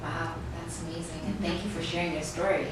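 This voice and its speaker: A woman's voice: fairly low pitch, flat delivery, a little fast. A woman hosting a casual sit-down interview, speaking in an effusive, complimentary way. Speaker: interviewer and host. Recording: echoey room, distant mic, faint noise.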